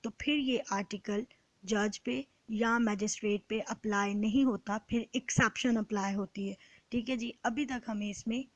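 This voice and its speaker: A woman's voice: a little high, steady tone, moderate pace, dry recording, low-quality recording. A woman with a Pakistani accent giving a methodical, explanatory law lecture, often checking that listeners follow. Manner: methodical, checks understanding with short tags. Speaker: law teacher. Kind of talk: explanatory exam-prep lecture with line-by-line translation.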